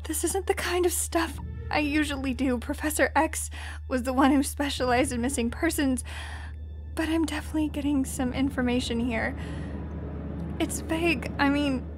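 A woman's voice: high-pitched, moderate pace, soft background music, close to an everyday voice. A woman playing a hesitant, sorrowful young psychic in a comic dub.

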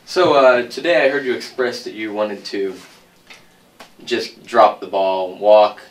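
A man's voice: fairly low pitch, measured pace, recorded from a distance. A man interviewing in a conversational, probing way, recorded slightly away from the mic.

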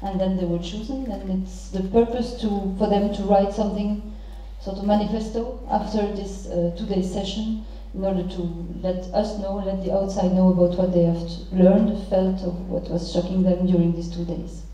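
A middle-aged woman moderating a panel, introducing guests and posing questions formally in halting, deliberate phrases. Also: mid-range voice, flat delivery, mellow. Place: large theatre stage. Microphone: handheld microphone through hall speakers. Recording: very echoey theatre PA, distant, muffled.

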